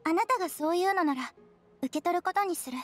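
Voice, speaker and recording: A shy young girl from an anime game speaks softly and hesitantly. Her voice is high and downcast, over quiet music. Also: fast talker. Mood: subdued, apologetic.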